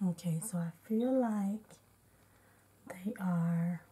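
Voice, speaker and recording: Slightly hoarse, congested woman chatting casually. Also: lowish voice, slow pace, dark tone. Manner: narrates each step as she goes. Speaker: beauty vlogger. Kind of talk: casual unedited makeup tutorial chat.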